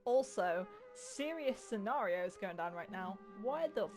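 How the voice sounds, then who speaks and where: A woman's voice: mid-range voice, lively intonation, unhurried, music in the background. A woman doing casual gameplay commentary, chatty, sarcastic and prone to swearing. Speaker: gamer, let's player.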